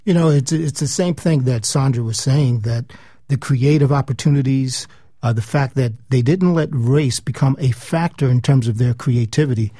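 Man, mellow dark tone, author guest, conversational explaining, dry recording. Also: mid-range voice, moderate pace, no room sound.

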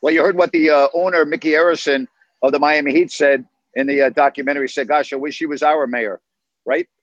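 A man's voice: on the high side, fairly even intonation, fast talker, no room sound, lo-fi sound. A man hosting a sports call-in show, upbeat and agreeable as he chats with callers. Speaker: radio sports talk host. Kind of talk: casual call-in chat.

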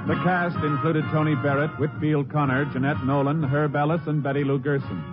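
A man's voice: on the high side, steady tone, brisk. A man announcing a radio drama in formal 1950s style. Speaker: show announcer. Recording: muffled old radio, loud music behind. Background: music.

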